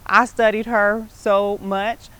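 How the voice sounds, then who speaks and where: A middle-aged woman with an American accent speaks as a show host telling personal stories. Her voice sits at an average pitch. She talks conversationally and with emphasis, often checking in with the listener. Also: little pitch movement, slow, a bit bright, clear, resonant tone. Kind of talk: personal storytelling about life and faith.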